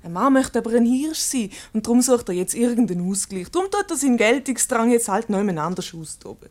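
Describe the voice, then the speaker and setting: A quick-witted, unruffled Swiss German wife in a radio comedy, played by an actress. Her voice is on the high side and swings freely up and down, full of teasing irony. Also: expressive, a little mellow, natural voice. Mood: amused, breezy. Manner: teasing, ironic.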